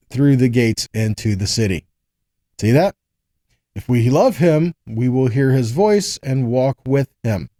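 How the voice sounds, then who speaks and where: Male scripture teacher, slightly high but soft-edged voice, dry close sound, conversational and instructive. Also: no room sound. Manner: earnest, instructive, checks in with rhetorical questions. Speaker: Bible teacher giving a teaching. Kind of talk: conversational scripture teaching, reading verses aloud and explaining.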